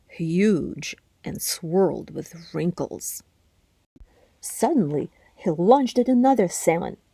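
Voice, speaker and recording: A woman narrating a children's story aloud expressively, with deliberate phrasing and hushed, breathy word endings. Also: on the low side, no echo. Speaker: storybook reader.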